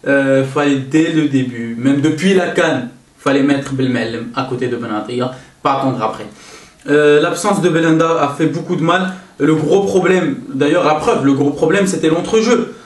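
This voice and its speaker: A man giving an informal, halting football match analysis on his own, often stopping to correct himself. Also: on the high side. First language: French-speaking, not an Arabic speaker. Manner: hesitant, halting, self-correcting delivery. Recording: roomy echo, distant microphone.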